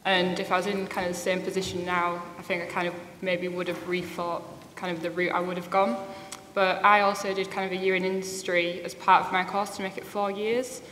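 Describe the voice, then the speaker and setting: A woman's voice: medium pitch, fairly bright tone. A young woman student on a panel, talking casually and saying "kind of" a lot. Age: young adult. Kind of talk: live panel discussion. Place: conference room. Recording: echoey hall with faint noise.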